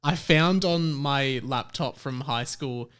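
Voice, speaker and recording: A man's voice: medium pitch, slow, fairly bright tone, clean tone. A man hosting a casual comedy podcast, informal and teasing in how he talks. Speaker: podcast host.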